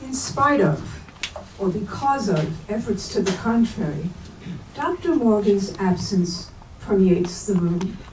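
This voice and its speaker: Female reader, soft dark timbre, mid pitch, literary prose, slightly distant pickup. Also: lively intonation, takes it slowly. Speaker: reader at a staged book reading. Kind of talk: literary prose reading aloud. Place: bookstore event space, indoors. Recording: roomy, distant mic, faint noise.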